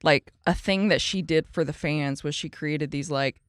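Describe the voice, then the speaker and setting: A young woman with a Southern accent, a guest musician chatting on a podcast, gushing quickly and full of "like". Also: deep voice, no room sound. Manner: gushing, fast, heavy use of "like". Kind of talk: casual podcast conversation.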